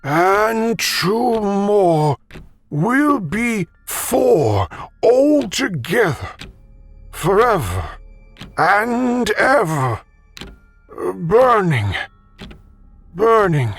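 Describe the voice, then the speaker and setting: A man voicing a cruel, secretive old man on his deathbed, speaking slowly and ominously in an audiobook reading. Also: sits high, sing-song, soft background music, voice pushed up, exaggerated voice. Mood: menacing. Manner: slow, drawn-out and ominous.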